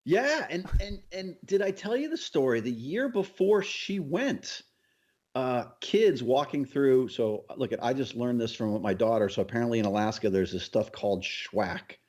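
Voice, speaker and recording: A man's voice: mid-range voice, animated, normal speed, a little mellow, highs cut off. A middle-aged man co-hosting a podcast, telling stories in casual conversation. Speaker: podcast co-host. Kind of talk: casual chat, storytelling.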